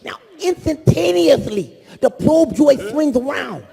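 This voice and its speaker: An actor playing an excitable comic narrator of a sci-fi parody, telling the story theatrically in a drawn-out way at a live stage reading. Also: male voice, very high voice, creaky, exaggerated voice. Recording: echoey convention hall reverb.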